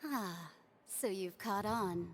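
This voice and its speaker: An actress voicing a mysterious sorceress in a video game, composed and knowing, with measured, formal, cryptic phrasing. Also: female voice, mid-range voice, close to an everyday voice. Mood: solemn, cryptic. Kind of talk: scripted video game dialogue. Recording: clear background ambience noise.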